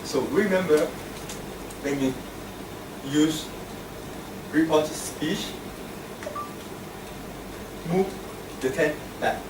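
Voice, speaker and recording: A man's voice: a little high, extremely slow, long pauses. A man acting as grammarian, giving a short grammar lesson with worked examples in a club meeting room. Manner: plain, repetitive teaching with worked examples. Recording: echoey room, distant mic, air conditioning hum.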